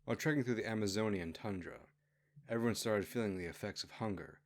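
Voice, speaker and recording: A man narrates a novel in a low-pitched voice. The delivery is scripted storytelling, read out as an audiobook. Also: fast. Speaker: author narrating own novel.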